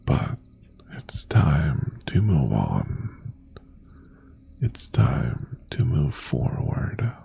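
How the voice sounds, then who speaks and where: A man playing an obsessive, possessive mafia boss ex-boyfriend in an intimate ASMR roleplay, tender yet menacing, with a hushed, husky, breathy voice that often drops to a whisper. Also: heavily acted. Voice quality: breathy, husky, gravelly low voice.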